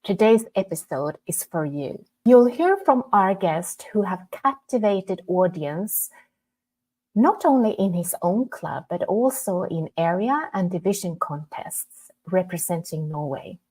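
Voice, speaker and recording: Woman hosting a podcast, measured delivery, pitch moves around a lot. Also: very animated, warm tone. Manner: measured, reads a prepared intro. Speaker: podcast host. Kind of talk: scripted podcast introduction and interview.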